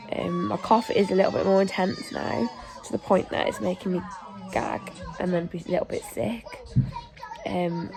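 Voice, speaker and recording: Croaky, gravelly-voiced sick mum. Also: female voice, fairly low pitch, rough recording. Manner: tired, plain-spoken, many ums. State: croaky voice from illness. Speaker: family vlogger. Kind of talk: home video diary. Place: family home.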